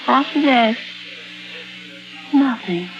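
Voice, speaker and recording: A woman's voice: fairly high pitch, animated, exaggerated voice. An anguished, haunted woman, muffled old radio. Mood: brooding, recollecting. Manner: dramatic, halting, intense delivery. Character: anguished amnesiac woman patient who believes she murdered. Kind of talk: 1950s radio drama acting. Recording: muffled vintage radio recording with music.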